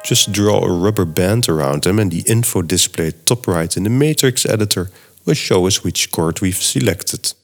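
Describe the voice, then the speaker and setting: Middle-aged podcast host with a Dutch accent and a very deep voice, steady and read-from-script, faint music underneath. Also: male voice, normal speed. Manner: steady, reads from a script, explains step by step. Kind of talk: scripted podcast narration and software tutorial.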